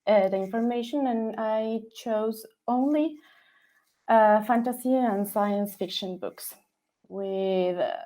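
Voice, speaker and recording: A woman from Ecuador, giving a student presentation over a video call in halting, English. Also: medium pitch, darkish tone. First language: Spanish. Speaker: data analytics student presenting.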